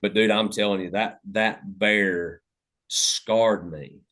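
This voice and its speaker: Deep-voiced man telling a story. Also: slow. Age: 30s. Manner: animated, cursing, loud storyteller. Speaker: podcast host. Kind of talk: casual storytelling chat.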